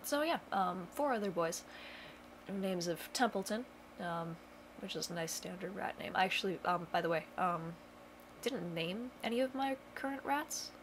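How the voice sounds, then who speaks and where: A woman's voice: mid-range voice, expressive, normal speed, a little hiss or hum, poor audio. A young woman chatting casually to camera at home, rambling with lots of fillers and dry self-mocking humor. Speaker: vlogger. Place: home bedroom.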